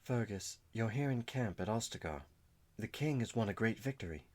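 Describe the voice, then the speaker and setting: A man voicing a young noblewoman warden who stays composed through grief, speaking quietly and with restraint. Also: fairly low pitch, animated, moderate pace, pitched above the performer's own voice. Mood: grieving, steady.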